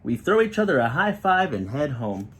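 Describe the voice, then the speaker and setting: Very animated man reading a picture book. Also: fairly high pitch, normal speed. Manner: expressive, acting out children's dialogue. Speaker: reader of a children's picture book. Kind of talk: animated read-aloud storytelling with explanatory asides.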